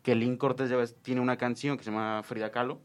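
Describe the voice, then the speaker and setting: A man with a Mexican accent talks in a loose, easygoing way. He is a guest in a casual podcast chat, his pitch sitting mid-range, and he laughs readily. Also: level tone, fairly quick, clear, resonant tone.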